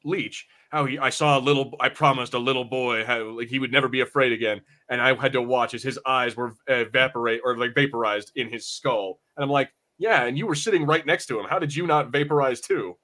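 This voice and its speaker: A man's voice: medium pitch, steady tone, brisk, few pauses, pushed and bright. A man co-hosting a podcast in casual chat, swearing freely and bantering with mock indignation. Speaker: podcast co-host. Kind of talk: casual chat reviewing an animated series.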